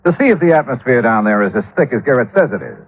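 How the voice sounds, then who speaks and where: A tough, wisecracking insurance detective in a vintage radio play. He has a plain mid-range voice and a dry, impatient delivery. His pitch moves around a good deal. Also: sing-song, very fast, faint music behind, poor audio. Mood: wry. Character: wry, tough male insurance investigator. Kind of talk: 1950s radio drama acting.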